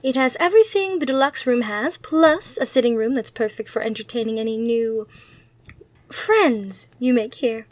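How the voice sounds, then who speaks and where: A woman's voice: on the high side, natural voice. A woman playing a gracious, eager demon hotel receptionist in an ASMR roleplay, with polite, reassuring customer-service patter. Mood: helpful, attentive.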